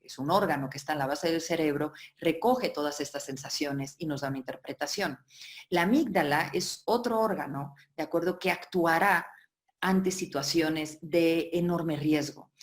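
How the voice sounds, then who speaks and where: Low-voiced woman giving a webinar lecture. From Barcelona, Spain. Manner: explanatory, with frequent check-ins and filler "eh". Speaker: workshop facilitator.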